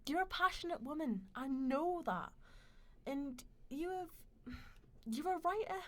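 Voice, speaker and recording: Young mistress, radio play, female actor: high-voiced, very expressive, proud, ambitious, cutting. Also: measured pace. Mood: impassioned. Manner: defiant, impassioned, cutting.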